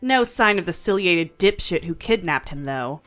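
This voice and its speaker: Woman as a bright, sardonic alien mechanic. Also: fairly low pitch, lively intonation, soft background music. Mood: dry, explanatory. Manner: brisk, sarcastic. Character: dividing two-headed alien plasmoid mechanic, sardonic and bossy. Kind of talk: scripted audio drama dialogue.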